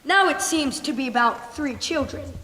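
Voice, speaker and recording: Stage sultan, played by a young woman: imperious, declamatory, high voice, long hall reverb with faint noise. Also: animated, moderate pace, pushed and bright. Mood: impatient, commanding. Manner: commanding, declamatory. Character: imperious, impatient male sultan listening to tales. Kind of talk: stage play acting. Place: school stage play in a large hall.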